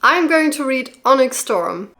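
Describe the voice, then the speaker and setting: A young woman in casual vlog talk, gushing and excitable with frequent fillers, still getting over a cold. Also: high voice, measured pace, slight background noise. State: recovering from a cold. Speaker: book vlogger.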